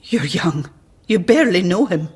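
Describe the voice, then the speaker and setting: An actress in a radio drama playing a cautious, earnest hospital colleague who speaks formally and precisely, with prim reproof. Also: female voice, on the low side, sing-song, moderate pace, darkish tone, breathy edge, heavily acted. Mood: cautioning.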